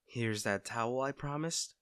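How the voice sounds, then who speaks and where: A man playing a caring, teasing, protective best friend in a soft-spoken, conversational roleplay audio drama. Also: medium pitch, unhurried, clear, resonant tone, no echo, studio quality, lightly acted. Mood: casual, teasing. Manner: fussing, tender, conversational.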